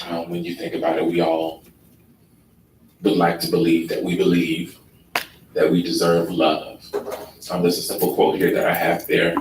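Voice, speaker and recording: A man with a very low, sleepy voice leads a workshop at an easy, unhurried pace, with plenty of ums. He sounds relaxed and takes his time. He is recorded in a conference room from a distance, so the sound is roomy and carries background noise. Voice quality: sleepy, laid-back delivery. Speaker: workshop presenter. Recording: echoey room from far off with noise.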